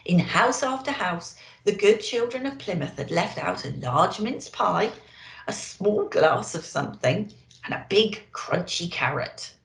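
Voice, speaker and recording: A woman's voice: medium pitch, lively intonation, a little slow, vocal fry, echoey room. A woman reading a children's storybook expressively as a Christmas elf storyteller, with dramatic emphasis.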